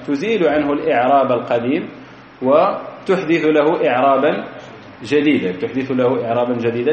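A man's voice: mid-range voice, a little mellow, a little hiss or hum. A man giving a grammar lesson in a methodical way, repeating key phrases and quizzing his students. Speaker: grammar teacher. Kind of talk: classroom grammar lecture. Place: lecture hall. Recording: echoey hall, dull lo-fi recording.

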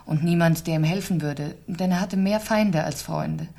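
An actress playing a cool, composed wealthy middle-aged wife, newly widowed, in a deliberate, articulate 1970s German radio crime drama style. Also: female voice, low-pitched, vocal fry, mellow. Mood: guarded, cool.

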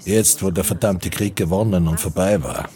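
A man narrating a literary radio drama about postwar times in a measured way. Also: deep voice, mellow, lightly acted. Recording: music under the voice.

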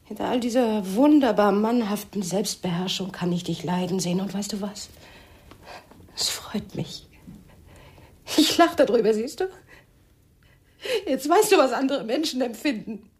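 An actress playing a wounded, jealous wife in a radio crime drama, breathy and pointed, with biting sarcasm. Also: female voice, medium pitch, lively intonation, measured pace, long pauses, darkish tone, lightly acted. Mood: bitterly triumphant.

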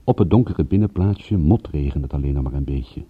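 A man playing a wry private detective narrating his case in a radio drama, measured and dryly ironic. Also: rumbling low, breathy edge, no echo. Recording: very muffled, dull old radio recording.

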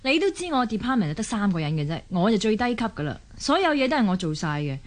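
A woman's voice: medium pitch, very animated, quick pace, lightly acted. Actress playing a weary, overworked working mother. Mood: weary, complaining. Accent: Hong Kong Cantonese. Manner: weary sighing, complaining. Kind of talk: Cantonese radio drama acting.

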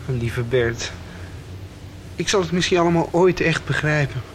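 Radio soap: male actor as earnest young man, high-pitched, tender and halting. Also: a little hiss or hum, lightly acted. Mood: tender, apologetic. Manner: hesitant, sincere.

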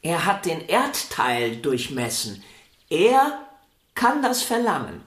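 An actor playing a vain, stubborn star violinist in a German radio play, arguing in a self-important, theatrical way. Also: male voice, fairly high pitch, wildly swooping pitch, slow pace, fairly bright tone, exaggerated voice. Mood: insistent. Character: vain, stubborn, celebrated male violinist arguing with his sister. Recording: roomy indoor echo.

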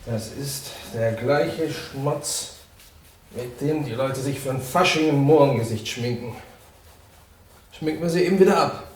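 An actor playing a practical, matter-of-fact official at a crime scene in a radio drama. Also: male voice, mid-range voice, measured pace, pauses often, a little mellow, close to an everyday voice. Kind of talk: 1980s radio drama acting. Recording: distant, echoing, faint hiss.

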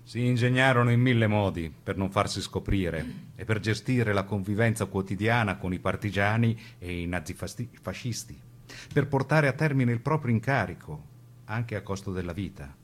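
Expressive male narrator, very varied pitch. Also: normal speed. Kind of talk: scripted dramatic documentary narration.

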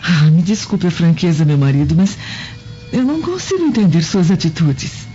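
An actress in a radio drama playing a gentle, candid Roman wife who questions softly. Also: female voice, on the low side, expressive, normal speed, close to an everyday voice. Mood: puzzled, reproachful. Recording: muffled radio drama, faint music.

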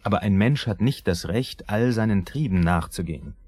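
An actor playing a brooding, moralistic, jealous husband in his thirties in a German radio drama, with a husky voice and an earnest, deliberate manner. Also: lowish voice, animated, darkish tone. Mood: reproachful.

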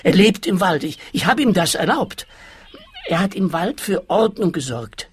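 An evasive small businessman in a radio play. The voice is husky and swings widely in pitch as he whines and wheedles. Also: big pitch swings, normal speed, exaggerated voice. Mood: uneasy, self-important. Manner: whining, wheedling. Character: whiny, evasive male small-time pilgrimage entrepreneur.

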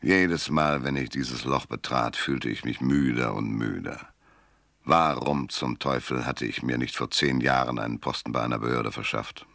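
A man's voice: a bass voice, gravelly, sharp tone, dry recording, full character voice. A man playing a weary, cynical private detective in a radio drama, narrating and talking in a laconic, dry, world-weary way. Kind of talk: first-person noir narration and dialogue in radio drama. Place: dry studio.

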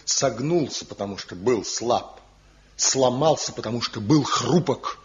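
An actor playing Zhivko, a proud, envious rival woodcarver, musing slowly in a 1970s radio play. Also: male voice, mid-range voice, animated, a little hiss or hum, lightly acted. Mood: defiant. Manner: brooding, slow inner monologue, proud. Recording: dull lo-fi old radio recording with faint music.

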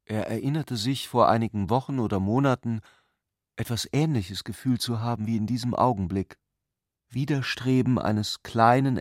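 A man reading literary prose as a scripted narrator, in a measured, deliberate way. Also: lowish voice, dry recording, studio quality.

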